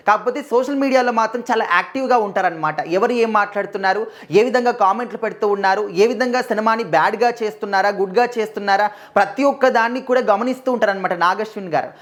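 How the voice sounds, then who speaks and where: She is a woman delivering film news commentary. Her voice sits fairly high and she moves through her sentences at a rapid clip. The delivery is conversational but emphatic, circling back over phrases as she explains. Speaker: presenter of film news videos.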